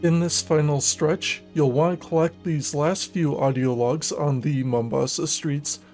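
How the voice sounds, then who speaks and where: A man narrating a scripted video game walkthrough in a measured, methodical, instructional manner. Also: on the high side, steady tone, a little mellow, faint music behind.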